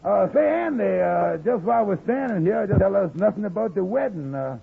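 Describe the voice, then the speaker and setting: An actor playing an excitable, nosy, good-hearted friend in old radio comedy, with a raspy voice and folksy dialect grammar. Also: male voice, high voice, brisk, full character voice. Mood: curious, chatty. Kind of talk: 1940s radio comedy acting. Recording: muffled vintage radio recording.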